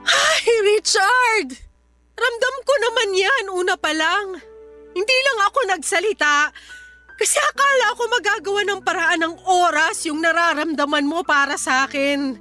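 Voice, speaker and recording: Jilted young mother for radio drama, with very high, piercing voice, emotional, reproachful and pleading. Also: lively intonation, moderate pace, sharp tone, faint music behind, exaggerated voice. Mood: anguished. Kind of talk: Filipino radio drama acting.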